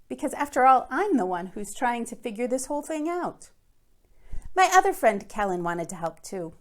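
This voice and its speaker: A woman's voice: medium pitch, lightly acted. An earnest middle-school boy voiced by a woman tells his own storybook case. He is fretful and emphatic, leaning hard on key words and dropping into confiding asides. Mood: earnest, determined. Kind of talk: first-person children's book read aloud.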